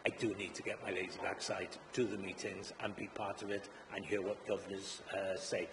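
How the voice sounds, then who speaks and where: A man's voice: low-pitched, little pitch movement, brisk. A man speaking as a councillor in a council chamber, earnest and full of hesitant uhs. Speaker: councillor, executive member for education. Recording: long chamber reverb, faint hum.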